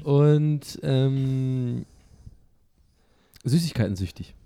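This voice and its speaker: A man's voice: mid-range voice, drawn-out words, gravelly, warm tone, clean tone, no echo. A man chatting casually in a playful, self-mocking way. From Germany. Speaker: show host answering listener questions. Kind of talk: casual joking group chat.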